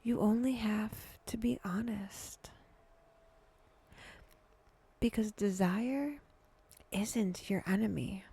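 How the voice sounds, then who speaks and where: A woman guiding a meditation in a hushed voice that drifts toward a whisper, speaking deliberately in short phrases with long pauses. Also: mid-range voice, husky. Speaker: guided meditation host. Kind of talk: slow, breathy guided meditation narration.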